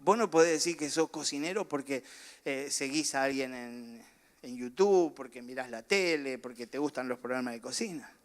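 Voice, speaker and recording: A man's voice: medium pitch, normal speed. A middle-aged man with a Rioplatense accent preaching a sermon, chatting and joking with the congregation before building to fervent preaching, with a slight cough. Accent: Rioplatense Spanish. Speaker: pastor.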